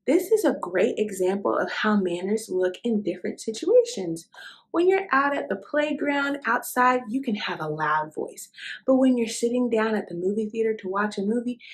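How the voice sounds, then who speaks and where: Animated, high-pitched woman reading to children. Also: moderate pace. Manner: encouraging, instructive, asks the listener questions and affirms. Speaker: host of a children's read-aloud show. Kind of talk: picture book read-aloud with asides to young children.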